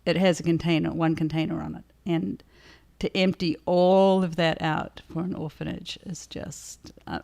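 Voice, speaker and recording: Low, creaky-voiced woman, even delivery. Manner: thoughtful, halting, conversational. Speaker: author and livestream co-host. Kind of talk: casual livestream chat, answering audience questions.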